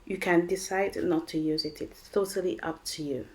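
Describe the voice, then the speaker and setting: A woman's voice: on the low side, fairly even intonation, moderate pace. A woman with a French accent hosting a cooking channel, talking viewers through a recipe in a chatty, encouraging way. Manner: chatty, encouraging, addresses viewers as guys and friends. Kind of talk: recipe voice-over.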